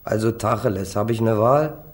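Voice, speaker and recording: A man's voice: on the low side, moderate pace, lightly acted. A man acting a defiant, street-tough young small-time crook in a German radio crime drama, flippant and slangy. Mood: sarcastic. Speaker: actor playing a defendant.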